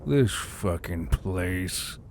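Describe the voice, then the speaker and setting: A man's voice: sits low, lively intonation, extremely slow, darkish tone, slight background noise, voice pushed down, heavily acted. A man voicing a bitter, sardonic, injured human soldier in an audiobook, curt and growling. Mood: bitter, pained. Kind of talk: dramatised audiobook character dialogue.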